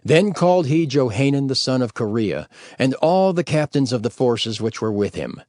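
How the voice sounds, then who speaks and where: Expressive man narrating scripture. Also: medium pitch, normal speed, no room sound, studio quality. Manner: formal, solemn storytelling. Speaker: audio Bible narrator. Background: faint music.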